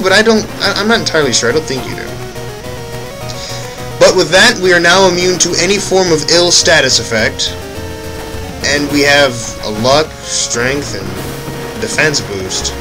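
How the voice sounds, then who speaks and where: Young man, let's play commentary, rambling and self-correcting, loud game music behind. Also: fairly high pitch, rough recording. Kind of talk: casual gameplay commentary.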